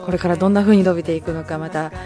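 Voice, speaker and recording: A woman's voice: fairly low pitch, steady tone, racing, over music. A woman hosting a radio show, presenting segments and interviewing her guest. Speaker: radio show navigator, host.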